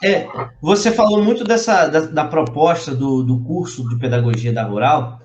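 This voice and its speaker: A man's voice: a little high, moderate pace, a little mellow. A man hosting an interview, asking questions hesitantly and rephrasing as he goes. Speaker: interviewer host. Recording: echoey room, faint noise.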